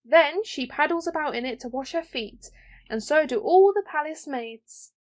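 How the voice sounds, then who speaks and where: Woman voicing a haughty, high-pitched little princess. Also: expressive, moderate pace. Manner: haughty, grandly matter-of-fact. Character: bossy, boastful young princess from a mirror.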